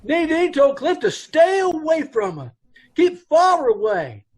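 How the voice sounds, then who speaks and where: A man voicing an anxious middle-aged dance hall owner who rambles and trips over his grammar, his voice pitched high and swinging widely. He sounds uneasy, as in an old-time radio play. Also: sing-song, unhurried, full character voice.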